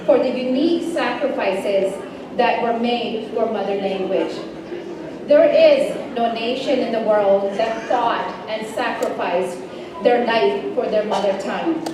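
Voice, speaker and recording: A young woman giving an earnest, measured speech on behalf of a multicultural education association at a community celebration. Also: fairly high pitch. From Canada. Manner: earnest, measured delivery with occasional slips and restarts. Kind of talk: prepared public speech. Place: large event hall. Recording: echoey hall, distant mic, background noise.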